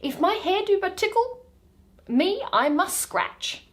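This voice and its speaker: A woman's voice: very high voice, very animated, slow pace, pitched above the performer's own voice, full character voice. Woman playing pompous donkey-headed man, swooping delivery. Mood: fussy. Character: Bottom, bumbling self-important man, part donkey, hungry and sleepy.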